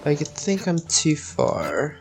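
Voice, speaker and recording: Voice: mid-range voice, wildly swooping pitch, takes it slowly, vocal fry, soft background music. A video game streamer giving casual gameplay commentary, thinking aloud and muttering with the odd swear word.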